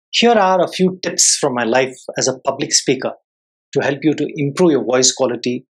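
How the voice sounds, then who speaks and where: An instructor giving an explanatory lesson in a measured, formal, clearly enunciated way. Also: mid-range voice. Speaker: soft skills instructor and public speaker.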